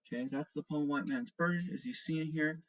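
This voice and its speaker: Male teacher for a recorded online school lesson, husky voice, explanatory delivery with frequent check-ins, dry close sound. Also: fairly high pitch, brisk, a bit bright, dry recording, low-quality recording. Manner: explanatory, often checking in with "okay" and "all right". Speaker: seventh-grade teacher. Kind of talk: remote lesson instructions and read-aloud.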